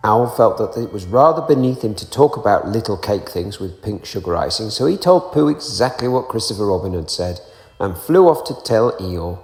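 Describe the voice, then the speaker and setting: Expressive male storyteller in a reverberant space. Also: fairly low pitch, moderate pace. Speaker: storyteller reading aloud. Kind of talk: expressive children's storybook narration. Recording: roomy echo, long reverb, faint music.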